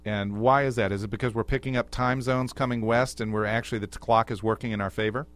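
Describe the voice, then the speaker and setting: A man's voice: mid-range voice, fairly even intonation, fast, fairly bright tone. A man hosting a radio talk show, asking direct questions in an upbeat way and introducing his guest.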